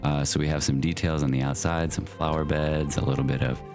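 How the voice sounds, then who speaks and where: A man's voice: very deep voice, level tone, fairly quick, music in the background. A man hosting his own channel, giving easygoing, chatty commentary on a Minecraft build, with plenty of loose fillers. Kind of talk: casual gameplay commentary.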